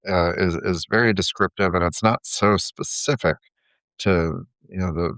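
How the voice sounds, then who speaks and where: Very deep-voiced wry male co-host. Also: steady tone, fairly bright tone, clean tone, no room sound, clean studio sound. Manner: wry, joking, halting. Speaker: podcast co-host. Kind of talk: casual podcast chat.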